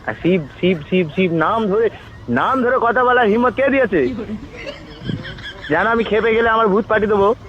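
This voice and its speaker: A man's voice: high voice, pitched above the performer's own voice, lightly acted. A man voicing the god Shiva as a bossy, teasing, mock-grand prank caller in an improvised comic phone call. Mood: indignant, threatening. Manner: mock-grand, teasing, scolding, drawn-out words. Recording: muffled, noisy phone call recording.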